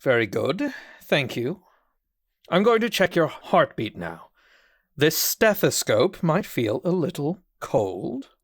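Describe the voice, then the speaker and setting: A smug, swooping, slow-talking psychiatrist. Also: male voice, on the high side, very animated, no echo, clean studio sound, exaggerated voice. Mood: brisk, exasperated. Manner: smug, drawn-out, mock-polite. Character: condescending, falsely cheerful male psychiatrist in horror drama. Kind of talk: theatrical audio drama acting.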